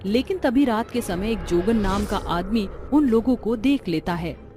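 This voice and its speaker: A narrator delivers a scripted tale in a medium-pitched voice. Music plays clearly behind the narration. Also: lively intonation, unhurried.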